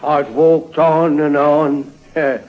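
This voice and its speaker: Weary old tramp, highish voice. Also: male voice, little pitch movement. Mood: humbly grateful. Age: older. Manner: humble, halting, deferential. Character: weary, grateful old male tramp, humble and hobbling. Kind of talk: old-time radio drama acting. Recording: muffled, band-limited old radio recording.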